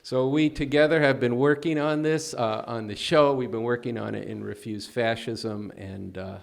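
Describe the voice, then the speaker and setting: A man's voice: mid-range voice, fairly even intonation, normal speed, creaky. A man hosting a political talk show, speaking earnestly and emphatically. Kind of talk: political commentary.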